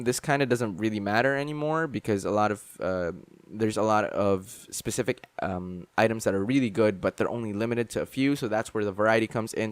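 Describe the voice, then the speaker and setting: A young man with a lowish voice gives casual let's play commentary, rambling and restarting his sentences with plenty of ums and uhs. Game music plays clearly behind him throughout. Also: no echo. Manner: rambling with many fillers and restarts.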